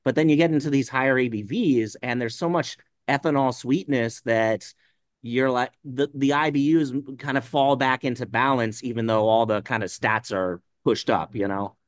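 A man's voice: medium pitch, moderate pace, dry recording, studio quality. Rambling man from the Pacific Northwest. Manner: rambling, many fillers like "you know". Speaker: brewer guest. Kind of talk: casual podcast chat.

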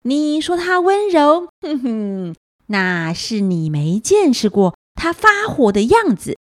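Woman putting on a cocky, high-pitched young leopard cub. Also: big pitch swings, slow, dry recording, studio quality. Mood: wry. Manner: swaggering, teasing, boastful.

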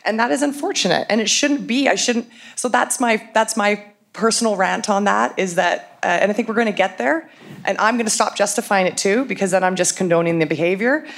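A woman's voice: medium pitch, brisk, vocal fry. A woman speaking frankly and forthrightly as a transit executive on a conference panel. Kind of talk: panel discussion.

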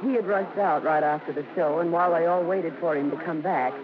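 An actor narrating a family story fondly, in old-time radio drama style. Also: male voice, on the high side, fairly quick, noisy background, close to an everyday voice. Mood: fond, storytelling. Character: narrator fondly recounting his or her mother's stage career. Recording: dull old radio broadcast with music.

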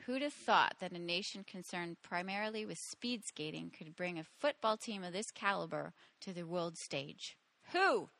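A woman co-hosting a podcast, giggly and soft-spoken, chatting and reading out listener feedback. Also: fairly low pitch, animated, moderate pace, gravelly, no echo. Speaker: podcast co-host.

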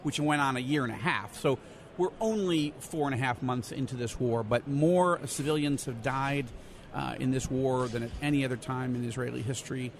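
A man giving conversational news analysis as an interview guest, halting at times and stressing key words. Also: mid-range voice. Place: convention center.

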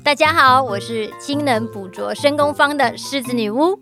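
A woman's voice: high-pitched, normal speed, over music. A woman hosting a casual podcast chat in a playful, teasing manner, with a Taiwanese Mandarin accent. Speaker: podcast host.

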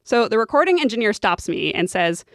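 A woman's voice: mid-range voice, sing-song, fairly quick, no echo, studio quality. A woman hosting a podcast, telling stories in conversational, scripted narration. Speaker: podcast host.

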